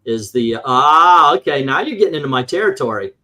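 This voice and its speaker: A man's voice: on the high side, animated, fairly quick, pushed and bright. A man chatting casually as a show host, blunt and given to swearing. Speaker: comics show host and shop dealer. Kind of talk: casual chat.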